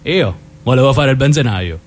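A man's voice: mid-range voice, lively intonation, moderate pace, natural voice. A man playing a protective, resigned brother born into the mafia in a radio drama. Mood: wistful, resigned. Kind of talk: stage-style radio drama.

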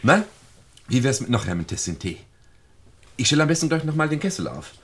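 An actor playing a charming, flattering young man in a German radio play, attentive and ingratiating, in standard German. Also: medium pitch, a little fast, gravelly. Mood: flattering, breezy. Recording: distant, noisy old radio recording.

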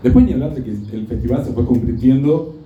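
A man's voice: medium pitch, a little fast, slight background noise. A man in his thirties from Mar del Plata, speaking as a film critic on a bookstore panel in a wry, discursive way. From Mar del Plata, Argentina. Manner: discursive, wry, builds arguments in long runs. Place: bookstore event room. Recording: distant, echoey, dull room recording.

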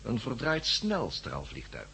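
Shrewd, husky smuggler, swooping pitch. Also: male voice, huge pitch swings, unhurried, sharp tone, heavily acted. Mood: smooth bargaining. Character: shrewd male seed smuggler driving a hard bargain. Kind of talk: 1960s Dutch radio drama acting.

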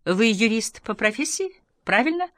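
A woman's voice: on the high side, very animated, moderate pace, a bit bright, no echo, studio quality, pitched above the performer's own voice. A woman voicing a formal, eager male TV talk-show host in an audiobook, with emphatic, polished delivery. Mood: eager, solemn.

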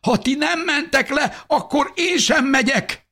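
A man's voice: extremely high pitch, sharp tone, pitched above the performer's own voice, heavily acted. A man voicing a panicked young boy in an audiobook drama, crying out and pleading in a thin, strained voice. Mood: desperate, tearful. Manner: pleading, drawn-out cries.

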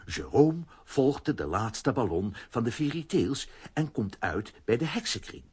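A man narrating a children's radio play as an animated storyteller with a raspy voice. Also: mid-range voice, a little slow, exaggerated voice.

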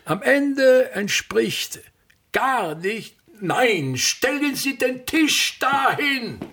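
Raspy, pompous theatre impresario. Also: male voice, extremely high pitch, big pitch swings, bright, forceful tone, full character voice. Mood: irritated, disdainful. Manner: declamatory, repetitive, querulous tirades with emphatic pauses. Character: pompous, embittered ageing male theatre impresario ranting at everything. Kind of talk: German-language radio play acting.

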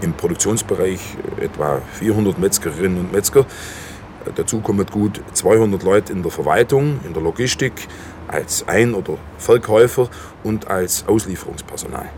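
Actor as low-voiced, officious plant manager. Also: male voice, moderate pace, a little mellow, a little hiss or hum, lightly acted. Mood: defensive, firm. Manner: officious, defensive, formal corporate phrasing. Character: evasive, businesslike slaughterhouse plant manager. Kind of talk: naturalistic radio drama acting.

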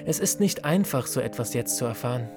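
An earnest young man voiced by an actor speaks politely and a little formally, loyal and protective, in audio-drama style. His pitch is middling, and faint music runs underneath. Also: expressive, brisk, lightly acted. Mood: shaken, earnest. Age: young adult. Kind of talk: German audio drama acting.

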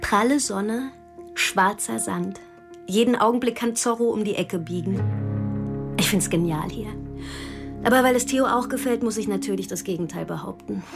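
A sardonic, self-willed young woman played by an actress in a radio drama. She sits at a middle pitch and speaks with dry, pointed wit. Music plays clearly behind her. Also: natural voice. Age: young adult. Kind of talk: radio drama dialogue and diary monologue.

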